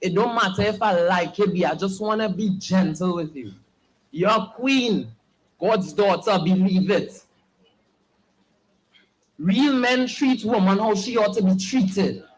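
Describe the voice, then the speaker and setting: A young man, performing spoken word poetry with a slow, earnest, pleading delivery at an open mic. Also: sits high, low-quality recording. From Trinidad and Tobago.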